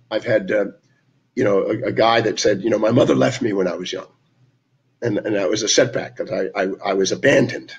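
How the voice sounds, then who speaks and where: A man with a raspy, hoarse voice giving a motivational talk, telling stories about his clients and quoting their conversations. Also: mid-range voice, poor audio. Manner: storytelling, quoting clients in dialogue. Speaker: speaker on his live stream.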